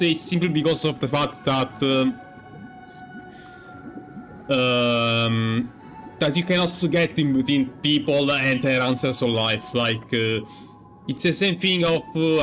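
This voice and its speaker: A man giving casual playthrough commentary as a gaming streamer, musing in a halting, repetitive way. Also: medium pitch, a bit bright. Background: game music.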